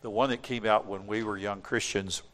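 Male pastor, emphatic sermon delivery, measured scripture reading. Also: on the low side, steady tone, fairly bright tone. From from outside Australia. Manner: emphatic, repeats words when stressing a point; reads scripture aloud in measured tones.

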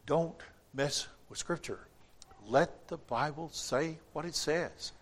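Male pastor, emphatic sermon delivery, measured scripture reading. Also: fairly high pitch, breathy edge. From from outside Australia. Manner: emphatic, repeats words when stressing a point; reads scripture aloud in measured tones.

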